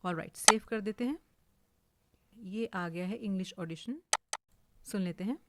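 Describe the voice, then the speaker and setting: An Indian woman giving an informal, explanatory software tutorial, mixing English words into her speech. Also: medium pitch, measured pace. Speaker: voice-over artist giving a tutorial. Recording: clearly hissy home recording.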